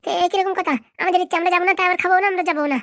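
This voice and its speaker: A woman's voice: extremely high pitch, steady tone, rapid-fire, a bit bright, no echo, clean studio sound. A woman voicing a timid, flustered simple villager in a comedy cartoon, stammering and pleading. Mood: nervous pleading. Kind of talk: Bengali comedy cartoon dialogue.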